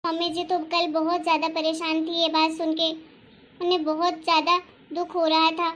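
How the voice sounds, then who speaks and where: A woman voicing a worried, soft-hearted housewife and mother in a cartoon family drama, talking in a fretful, plaintive way. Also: extremely high pitch, completely flat delivery, moderate pace. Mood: worried, upset.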